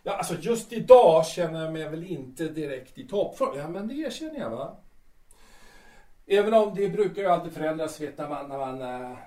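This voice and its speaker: A man's voice: a little high, expressive, mellow, far from the mic, natural voice. An actor playing a vain, boastful male stage star who rambles with many hesitations, in an audio drama. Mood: rambling. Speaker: star singer-actor Peter. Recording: echoey room, voice often off-mic.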